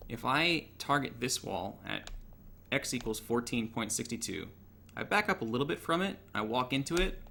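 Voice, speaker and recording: A man's voice: mid-range voice, lively intonation, moderate pace, vocal fry, sharp tone, a little hiss or hum. A man giving an informal, technical tutorial over gameplay in a matter-of-fact, analytical way. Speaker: gaming tutorial narrator.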